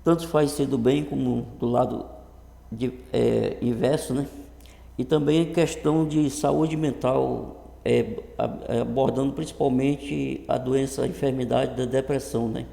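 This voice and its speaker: In a very echoey, reverberant room, a man in his early fifties speaks with a northern Brazilian accent. His voice is creaky and mellow. He talks casually to a webcam in a halting way, circling back over his words. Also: medium pitch, fairly even intonation. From Brazil, Rondônia. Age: early 50s. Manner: halting, often repeats and restarts words. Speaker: vlogger introducing his channel. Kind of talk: casual talk to camera. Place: improvised untreated home room. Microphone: computer webcam setup.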